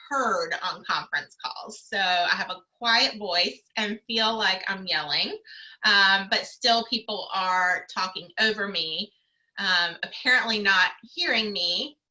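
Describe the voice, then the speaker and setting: A woman's voice: mid-range voice, sharp tone, poor audio. A woman career coach moderating a video call panel, encouraging and full of fillers.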